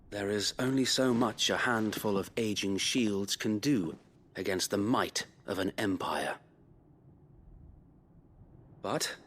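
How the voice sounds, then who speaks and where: An actor playing a loyal, weary middle-aged knight who leads a resistance, speaking formally in a fantasy game cutscene. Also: male voice, lowish voice, fairly bright tone. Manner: formal, archaic speech. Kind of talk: dramatic video game cutscene acting.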